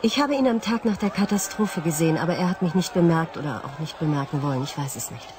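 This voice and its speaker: An actress playing a curious, teasing, fearless young daughter in a radio play. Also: female voice, sits low, fast talker, lightly acted. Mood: composed. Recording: loud street crowd noise behind.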